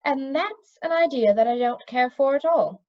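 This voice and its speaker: A woman voicing a sensible, plain-spoken storybook witch with a brisk, decided manner. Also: high voice, lively intonation, highs cut off, voice pushed up. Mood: firm, disapproving.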